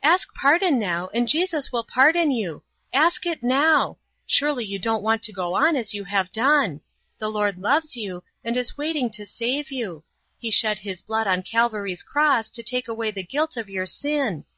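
A woman's voice: on the high side, expressive, normal speed, pushed and bright, no echo, muffled recording. A woman voicing a pure, earnest young girl who pleads fervently with a hardened man. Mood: pleading.

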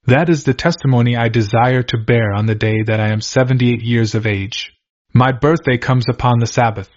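Male reader, measured diary narration, mid pitch, somewhat dull audio. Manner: measured, steady first-person reading. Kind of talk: reading a diary aloud. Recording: slightly dull lo-fi recording.

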